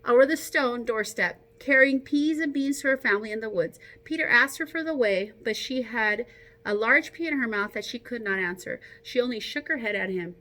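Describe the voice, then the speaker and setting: A woman teacher reading a story aloud to children, expressive and encouraging, with casual asides to her young listeners. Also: fairly high pitch, normal speed.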